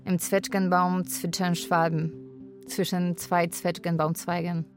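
Carer lady, low flat voice, wistful, frank, radio drama, bit of music under it. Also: measured pace. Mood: narrating, matter-of-fact. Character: Polish live-in carer, woman, wistful and frank. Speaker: actor. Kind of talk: radio drama narration and dialogue.

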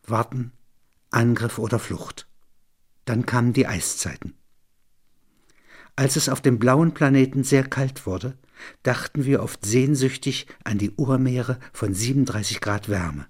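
A man narrating literary and philosophical reflections in measured, deliberate phrases. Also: medium pitch, husky.

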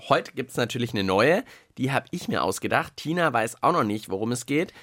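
A man chats as a children's radio host, close on the mic in a dry studio. His pitch sits in the middle, and he explains things playfully, often turning to questions. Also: animated, brisk, fairly bright tone, no room sound. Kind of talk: casual chat co-hosting a children's radio show. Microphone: close studio microphone.

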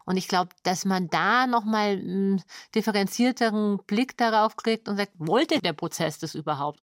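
A woman speaks in a reflective interview manner. Her pitch sits in the middle range, and the recording is dry with almost no room sound. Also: normal speed, no echo. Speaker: radio drama maker.

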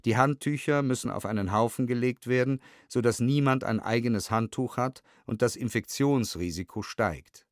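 A man's voice: medium pitch, dry recording. Man narrating soberly in a dry studio. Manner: measured, sober, precisely enunciated. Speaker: narrator reading historical documents. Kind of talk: scripted documentary audiobook narration.

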